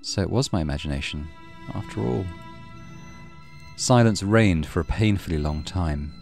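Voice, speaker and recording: A man's voice: sits low, animated, moderate pace, creaky, a little mellow, soft background music. A man hosting a let's play, reading a horror visual novel aloud with dramatic storytelling and muttered asides. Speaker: gaming channel host.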